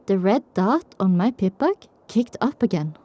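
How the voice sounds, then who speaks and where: A woman's voice: medium pitch, animated, measured pace, warm tone, no echo. A woman narrator reading a scripted first-person story for an audio drama.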